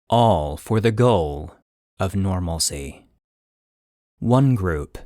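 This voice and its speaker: A man narrating a scripted dramatic story in a grave, measured, deliberate manner. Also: on the low side, darkish tone, dry recording, clean studio sound.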